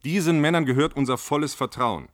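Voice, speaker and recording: An actor in a radio play as an evasive government spokesman, speaking in a measured, bureaucratic, placating way. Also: on the high side, fairly bright tone, dry recording, clean studio sound, lightly acted. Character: evasive, appeasing male government spokesman playing down a crisis. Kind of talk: radio play acting as a panel discussion guest.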